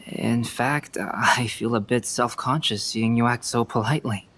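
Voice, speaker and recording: A man playing a calm, courteous, analytical young scholar in an English video game, speaking in a measured, thoughtful way. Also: mid-range voice. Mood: polite, friendly.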